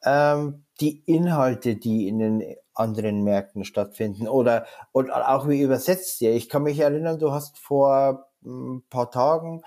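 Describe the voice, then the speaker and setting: A man's voice: mid-range voice, a little slow, hardly any pauses, mellow. A man hosting a podcast interview, colloquial and circling his way toward each question. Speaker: podcast host, editor-in-chief. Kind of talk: conversational interviewing.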